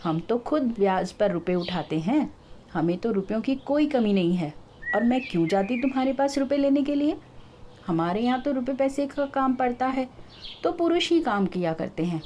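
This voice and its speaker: A woman's voice: on the high side, lively intonation, moderate pace, warm tone, a little hiss or hum, voice pushed up, close to an everyday voice. A woman voicing a cunning, brazen swindler woman in a told story, with an insistent, theatrical manner. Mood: scornful denial. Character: cunning brazen swindler woman, pleading then scornfully denying.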